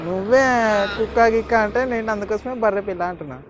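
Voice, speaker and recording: A man's voice: high-pitched, fast, loud music behind the voice. A man making a teasing prank phone call. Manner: teasing, cheeky. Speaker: prank caller.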